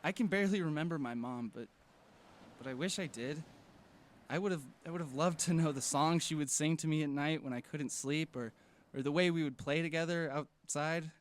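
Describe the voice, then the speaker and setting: A man's voice: on the high side, normal speed, lightly acted. A man playing a supportive, jokey teenage boy, an earnest best friend in an audio drama. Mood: earnest, comforting. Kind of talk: fiction podcast audio drama acting.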